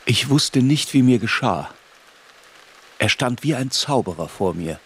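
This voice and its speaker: A man's voice: mid-range voice, lively intonation, unhurried, a bit bright, slight background noise. A man playing an adult narrator in a radio play, looking back on a tormented boyhood in literary, introspective narration. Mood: melancholy, reflective.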